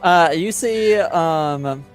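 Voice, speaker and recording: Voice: mid-range voice, drawn-out words, soft background music. A game master improvising narration for a tabletop game, hesitantly searching for words.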